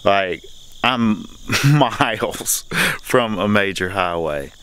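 A man in his forties, a truck driver, talking excitedly to the camera through a headset mic as he works out a tight route on country roads. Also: lowish voice, drawn-out words, clean tone. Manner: excited, talks straight to camera. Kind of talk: casual vlog narration. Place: outdoors on rural roads and inside a truck cab.

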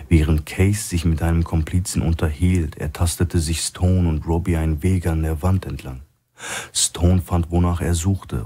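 Very deep, husky male narrator. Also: mellow. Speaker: audiobook narrator. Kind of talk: scripted narration of a crime thriller.

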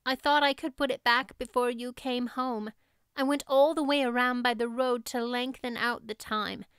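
A woman's voice: on the high side, fairly even intonation, moderate pace, a bit bright, clear, resonant tone, no echo, studio quality, close to an everyday voice. A woman voicing an imaginative, earnest young girl with a theatrical, pleading manner, reading from a storybook. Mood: solemn, dreamy.

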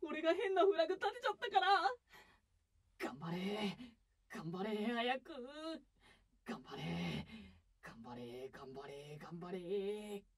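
A man playing an excitable, earnest young male idol in a voice drama, with a boyish, eager delivery. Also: high-pitched, takes it slowly, full character voice. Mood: worried. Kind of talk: Japanese voice drama acting.

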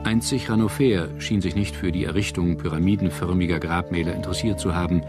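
A man reading scripted documentary narration in a measured, formal manner. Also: low voice, fairly even intonation. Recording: music bed under narration. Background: background music.

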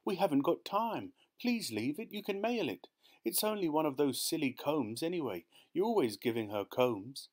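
A man's voice: mid-range voice, expressive, fairly quick, pitched above the performer's own voice, lightly acted. A man voicing an anxious, flustered wife who pleads politely and hurriedly, as a storybook character. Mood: pleading. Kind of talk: audiobook character voice.

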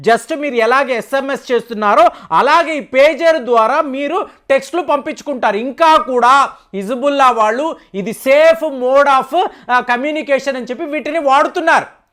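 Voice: high voice, a bit bright. Commentator with emphatic, questioning delivery. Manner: rhetorical questioning, emphatic, conversational explaining. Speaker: news commentary channel host. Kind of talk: news analysis monologue to viewers.

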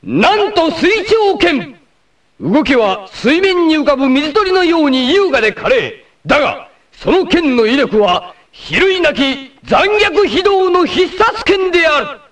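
A man's voice: extremely high pitch, very animated, slow pace, a bit bright, dry recording, heavily acted. A man playing a proud, vengeful martial-arts warrior in a 1980s anime, cool, ruthless and theatrical in delivery. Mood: fierce battle cry. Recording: noisy old anime clip compilation.

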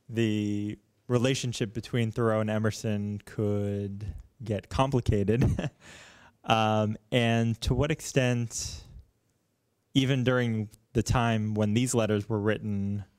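A man's voice: medium pitch, a little mellow, smooth, dry recording, clean studio sound. A man in an audience asking a question in careful, hesitant, formal phrasing. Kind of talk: spoken question at a public talk. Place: indoor meeting room, in the audience.

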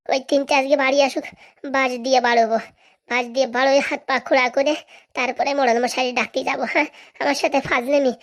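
A high-voiced, bossy village woman from a comic cartoon, played by a woman. She talks very quickly, scolding and scheming like someone set on getting her money. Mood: indignant scolding. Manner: scolding, demanding, haggling.

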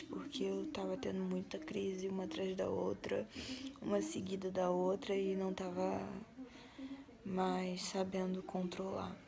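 A young Brazilian woman vlogging from her bedroom, her voice hoarse from a cold and rough with vocal fry. She talks in a relaxed, chatty way, drawing out words and slipping into a breathy half-whisper, close to a phone held at arm's length. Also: mid-range voice. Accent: Brazilian Portuguese. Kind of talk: casual vlog chat to camera. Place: small bedroom indoors. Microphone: phone or webcam at arm's length.

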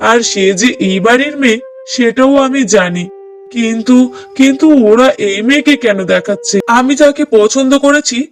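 A man's voice: extremely high pitch, normal speed, faint music behind. A man voicing an insistent, lovestruck young son in a family cartoon, earnest and pleading. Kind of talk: Bengali moral-story cartoon dubbing.

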